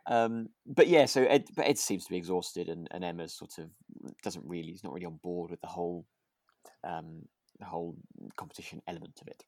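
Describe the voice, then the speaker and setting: A man chatting casually as a podcast co-host, with a halting way of restarting his sentences. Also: sits low, darkish tone, no echo, clean studio sound. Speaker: actor. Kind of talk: casual chat recapping a radio drama.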